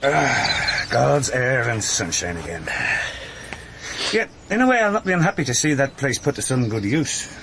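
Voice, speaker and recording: An actor playing a talkative, gentle parish priest with an Irish accent and a musing manner, in a radio drama. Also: male voice, medium pitch, big pitch swings, normal speed, creaky, background noise. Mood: genial, musing. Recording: outdoor ambience with birdsong.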